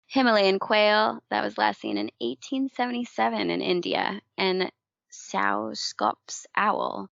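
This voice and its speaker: A woman who grew up in the Philippines, co-hosting a casual news podcast, chatty and quick to laugh, stumbling over hard names. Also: on the low side, vocal fry, a bit bright, no echo. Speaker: podcast co-host. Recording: dull lo-fi dry recording.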